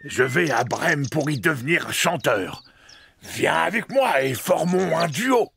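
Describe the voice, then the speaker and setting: Creaky, genial old donkey. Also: male voice, on the high side, lively intonation, slow pace, a bit bright, slight background noise, full character voice. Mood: proud, hopeful. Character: genial old donkey, proud singer leading his friends. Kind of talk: children's cartoon dub acting.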